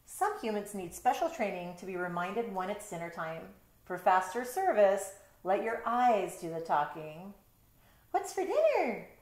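A middle-aged woman reads a children's book aloud in a roomy bedroom. Her delivery is expressive and animated in pitch, breaking off for casual explanations to young listeners. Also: normal speed, few pauses. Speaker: storytime reader, teacher. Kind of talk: picture-book read-aloud with casual asides. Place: bedroom, indoors.

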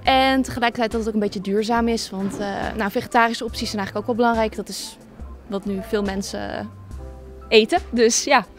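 A young woman student answering a street interview in a university canteen, relaxed and hesitant with fillers. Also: a little high, fairly quick, faint music behind. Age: young adult. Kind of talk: vox-pop answers. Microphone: handheld microphone.

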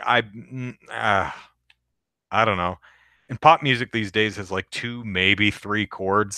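Man, deep voice, bright tone, dry close sound, casual rambling host. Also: no room sound. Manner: rambling, lots of fillers and restarts. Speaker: podcast host reading chat. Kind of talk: casual live-stream chat.

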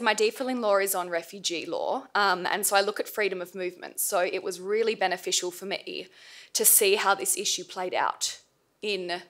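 A woman's voice: medium pitch, fairly bright tone. A young woman speaking on a panel at a table mic, hesitant with many fillers, her voice tired and fading. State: losing her voice. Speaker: panel speaker, former intern. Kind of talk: informal panel talk. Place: seminar room, panel table.

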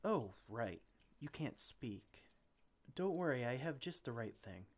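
A man acts out a well-meaning wizard who brews potions and gets flustered. He is theatrical and exclamatory in this ASMR roleplay, with an average male pitch that swoops up and down animatedly. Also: sing-song, a little slow. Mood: relieved, sheepish. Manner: theatrical, exclamatory, speaks to a silent assistant.

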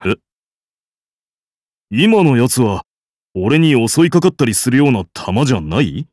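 A man's voice: medium pitch, very animated, a little slow, a little mellow, no room sound, studio quality. A man voicing a bold, hearty emperor in a game, with a brash, theatrical and casual way of talking. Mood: pensive. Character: bold, grandiose, hearty emperor and war leader. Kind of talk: game character voice lines.

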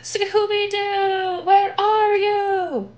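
A woman voicing an excitable, easily scared goofy young man in a storybook, with drawn-out exclamations. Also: very high voice, dull audio, voice pushed up, full character voice. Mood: panicked.

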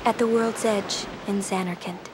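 A woman playing a gentle, dutiful, wistful young summoner in a video game cutscene, speaking softly, hesitantly and earnestly. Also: moderate pace, lightly acted. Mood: solemn. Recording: game audio over steady surf-like rumble.

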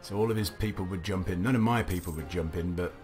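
A young man casually commentating a strategy game, thinking aloud, his voice a little gravelly from a recent cough. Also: deep voice, fast, darkish tone. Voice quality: slightly gravelly. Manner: musing aloud, chatty, self-talking. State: recovering from a cough, slight gravel. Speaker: let's play commentator. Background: faint game music.

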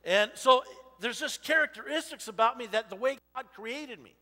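A man in his forties preaching an informal sermon in a church, talking loudly and animatedly while he walks among the audience. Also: extremely high pitch, normal speed. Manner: loud and animated, walking the stage and aisles. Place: church sanctuary with a stage. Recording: long reverb of a church hall.

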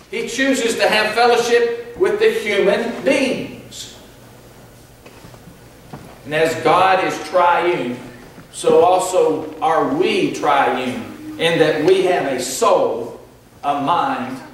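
A middle-aged man with a Southern US accent preaching a sermon at a pulpit, earnest and measured with pauses. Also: sits high, a bit bright, slight background noise. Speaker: pastor. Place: large church sanctuary, at a pulpit. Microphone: pulpit mic, filmed from far back. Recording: echoey church hall from far off.

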